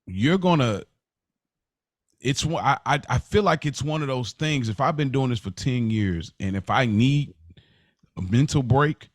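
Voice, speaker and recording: A man's voice: medium pitch, animated, unhurried, dry recording, clean studio sound. A man co-hosting a podcast, casually pressing his point with questions and repeated phrases in informal language. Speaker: podcast host.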